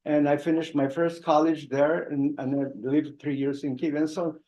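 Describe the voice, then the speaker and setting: A man in his eighties from Odessa, with a Russian accent, telling anecdotes at a book talk in a halting way full of restarts and chuckles. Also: a little high, flat delivery, dark tone, roomy sound. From Odessa, Ukraine. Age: 80s. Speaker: author giving a book talk. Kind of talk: conversational anecdotal storytelling.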